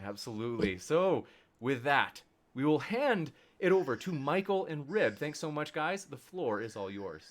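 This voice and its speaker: A man hosting a livestreamed debate, giving an upbeat, chatty introduction straight to the audience with clear enthusiasm. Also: fairly high pitch, sing-song, moderate pace. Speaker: debate host and moderator.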